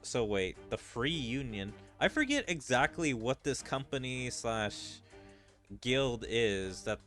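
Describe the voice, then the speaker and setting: A man's voice: medium pitch, sing-song, slow pace, fairly bright tone. A man giving casual let's play commentary, reading game dialogue and rambling in asides. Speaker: gaming streamer reading game dialogue aloud. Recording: background game music under the voice. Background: game music.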